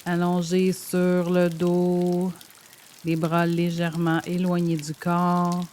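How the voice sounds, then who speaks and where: A woman guiding a yoga nidra meditation in a gentle, soothing, measured way, leaving pauses between phrases. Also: fairly low pitch, a little hiss or hum. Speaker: meditation guide. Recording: steady rain sound over the voice. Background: rain sound.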